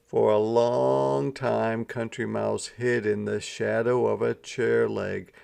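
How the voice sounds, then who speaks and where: A man reading a children's story aloud as an expressive storyteller, with deliberate, dramatic phrasing. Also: fairly low pitch, creaky. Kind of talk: expressive scripted storybook narration.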